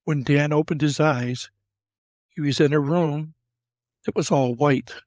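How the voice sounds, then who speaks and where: A man's voice: a little high, fairly even intonation, a little slow, a little mellow, dry recording. A man narrating a scripted fantasy adventure in a dramatic storytelling style. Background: cinematic music.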